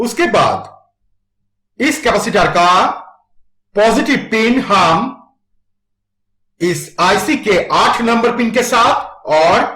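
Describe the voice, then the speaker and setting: High-pitched man narrating slowly, roomy sound. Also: sharp tone. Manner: instructional, methodical. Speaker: DIY electronics tutorial narrator. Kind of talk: scripted step-by-step tutorial narration.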